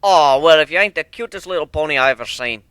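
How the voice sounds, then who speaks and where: A loud, drawling old laborer with a homespun twang, as a male storyteller puts him on. He is a little hard of hearing and speaks up kindly. The voice sounds bright and close, with no room around it. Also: a little high, lively intonation, quick pace, smooth, no room sound. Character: folksy old male machine worker, kindly.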